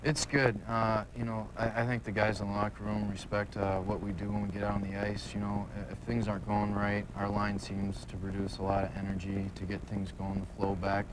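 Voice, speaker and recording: Rookie athlete, young man. Low-ish, monotone, plain-spoken and hesitant in interview, noisy backdrop. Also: a little fast, hardly any pauses. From United States. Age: young adult. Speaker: rookie professional hockey player being interviewed. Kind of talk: casual sports interview. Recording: clearly noisy background.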